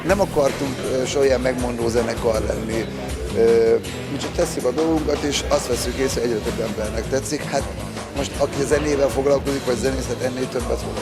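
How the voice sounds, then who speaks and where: Middle-aged male interviewee, mid-pitched, unassuming and conversational, loud music in the background. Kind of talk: casual interview answers. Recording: loud music behind, outdoor festival. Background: loud music, vehicle noise.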